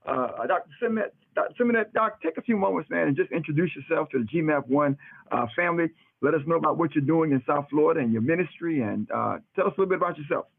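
A man's voice: a little high, quick pace, creaky, husky. A middle-aged man hosting a radio interview in a casual, effusive, brotherly way, often repeating his phrases. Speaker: radio host and pastor. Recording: muffled phone-like radio audio, roomy echo.

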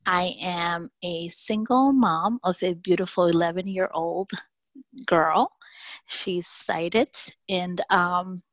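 A woman's voice: lowish voice, fairly even intonation, takes it slowly, a little hiss or hum. A woman in her thirties from Peru, whose first language is Spanish, answering interview questions thoughtfully with hesitations and restarts. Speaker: podcast guest.

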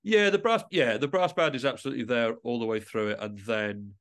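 Guy chatting in an interview, thoughtful and halting, bit of gravel and fry in his voice, rewording as he goes. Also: medium pitch, a bit bright. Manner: thoughtful, halting, often rephrasing mid-sentence. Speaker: interview guest, musician and composer. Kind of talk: reflective conversational interview.

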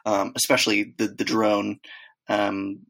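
A man's voice: lowish voice, little pitch movement, measured pace, gravelly, fairly bright tone. A man co-hosting a casual chat, telling rambling travel stories with lots of fillers and acted-out quotes. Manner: rambling storyteller, acts out quotes, many fillers.

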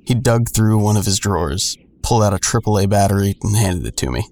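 A man's voice: lowish voice, lively intonation, a little fast, a little mellow. An actor playing a man who narrates his own troubled story in a first-person audio drama. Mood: measured. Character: adult man recounting his troubled past. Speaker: narrator and main character.

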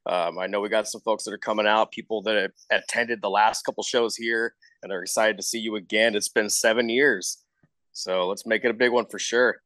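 A man's voice: lowish voice, fairly even intonation, brisk, fairly bright tone, dry recording. A man hosting a casual interview, informal and encouraging as he talks. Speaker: show host, interviewer.

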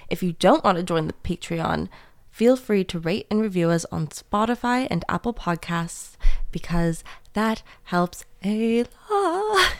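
Giggly young woman with a gravelly edge. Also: medium pitch, expressive, a little slow. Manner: giggly, reads show intro plainly. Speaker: podcast co-host. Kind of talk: casual podcast chat.

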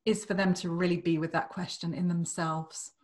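A British woman co-hosting a conversational podcast as a life coach, effusive and quick to laugh. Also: lowish voice, little pitch movement. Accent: British English. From Britain. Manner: warm, effusive, laughs often. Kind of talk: casual conversation.

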